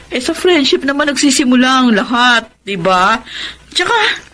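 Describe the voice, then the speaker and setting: Lively, teasing Filipino big sister. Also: female voice, high-pitched, normal speed, heavily acted. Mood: playful, coaxing. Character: teasing, meddling, playful older sister or friend. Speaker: radio drama actor. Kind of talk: Filipino radio drama acting.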